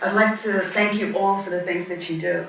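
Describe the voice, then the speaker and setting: A woman's voice: medium pitch, steady tone, normal speed, fairly bright tone. A woman in her fifties, born in Guyana and raised in Barbados, speaking as a judge on a panel. She tells her story in an earnest, reflective way, with frequent ums. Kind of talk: personal story at a panel discussion. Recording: echoey hall, mic at a distance, faint noise.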